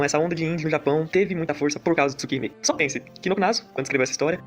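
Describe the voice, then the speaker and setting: A Brazilian man reading scripted narration for a video essay. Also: sits high.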